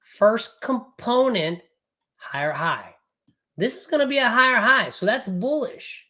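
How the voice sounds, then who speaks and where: Brash, loud-voiced man coaching traders. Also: high-pitched, expressive. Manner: loud, brash and profane, says it's a loud voice; casual, fast and rambling, with frequent "right?". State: recovering from a cold, voice not fully back. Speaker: day trading coach. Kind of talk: live online trading class.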